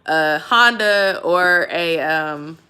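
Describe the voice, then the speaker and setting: A woman's voice: deep voice, very slow, sharp tone, smooth. A woman from Georgia with a Southern accent, chatting casually with viewers on a livestream and greeting them with y'all. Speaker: YouTube host.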